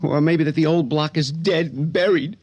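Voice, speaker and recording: An actor playing a grief-stricken, bitter young son in radio drama, with anguished, stammering outbursts. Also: male voice, on the high side, normal speed, a little mellow, natural voice. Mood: bitter, defiant. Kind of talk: old-time radio drama acting.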